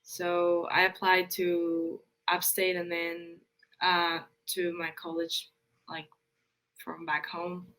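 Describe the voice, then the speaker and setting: Casting: young woman from Spain, student interviewee, slow and halting, low-ish voice, lots of fillers. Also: completely flat delivery, a bit bright, rough recording. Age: young adult. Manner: hesitant, many fillers and "like". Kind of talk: casual interview answers.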